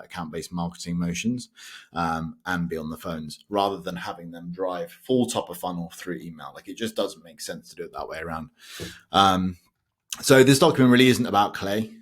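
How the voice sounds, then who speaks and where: A man's voice: sits low, moderate pace. A young British man, a sales automation consultant, explaining his method to camera in a loose, conversational way with frequent fillers and self-corrections. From United Kingdom.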